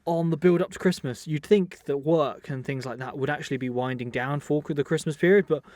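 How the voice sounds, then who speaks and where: A man's voice: fairly high pitch, fairly quick, darkish tone, dry recording. A man chatting casually as a podcast co-host, agreeably chiming in. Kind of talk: casual chat.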